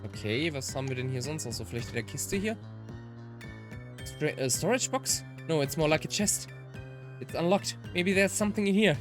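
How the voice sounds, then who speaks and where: A woman's voice: low-pitched, animated, moderate pace. A woman doing game commentary, switching between dramatic reading and muttered asides. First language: German. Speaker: let's player. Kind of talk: game commentary with dramatic read-aloud of visual novel lines. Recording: music behind the voice. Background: game music.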